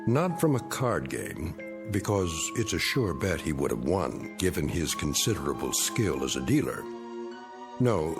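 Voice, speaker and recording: A man narrating a radio drama in a measured storytelling manner. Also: low-pitched, heavily acted.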